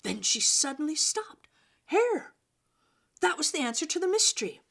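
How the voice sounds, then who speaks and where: A woman reading a children's story aloud in an expressive, dramatic way, with pauses and emphasis for young listeners. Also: sits high, creaky.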